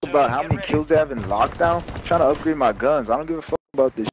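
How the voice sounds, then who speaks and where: A man's voice: a little high, fast talker, crowd noise. A man with a Californian accent, combative, profane and slangy in heated online game voice chat. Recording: game voice chat with loud gunfire. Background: gunfire and clicks.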